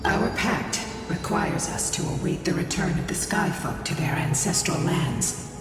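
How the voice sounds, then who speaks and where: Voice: mid-range voice, expressive, lightly acted. Actor doing an old solemn pact guardian from a game, formal wording, massive echo, music under it. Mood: dutiful, solemn. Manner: formal, dutiful, measured. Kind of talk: video game voice acting.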